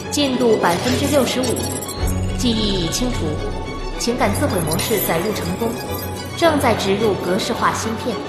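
A woman voicing an impassive computer system, making flatly official procedural announcements in a radio drama. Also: fairly high pitch, normal speed, close to an everyday voice. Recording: echoing voice under loud music.

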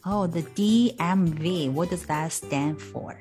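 A woman hosts a bilingual learning podcast in a slightly low voice. She moves between Mandarin and English, stopping to unpack each term for listeners. Soft background music plays under the talk. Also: takes it slowly. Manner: explains terms, switching between Mandarin and English. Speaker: podcast co-host.

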